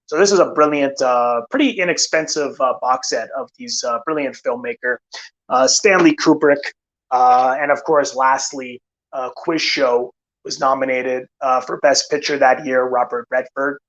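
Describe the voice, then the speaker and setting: Tired film-channel host, rambling casual chat full of fillers, mid-pitched man's voice, a bit dull and lo-fi. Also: moderate pace, a bit bright. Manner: rambling, many "uh" fillers and restarts. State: tired, losing his voice. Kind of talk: casual live-stream chat.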